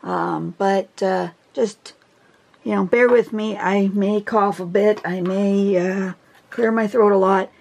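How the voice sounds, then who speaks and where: Hoarse woman chatting through a cold. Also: mid-range voice, fairly even intonation, takes it slowly, darkish tone. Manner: chatty, rambling, many fillers and throat clearing. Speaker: drawing tutorial host. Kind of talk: casual instructional talk. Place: indoors at a table, filming a phone screen.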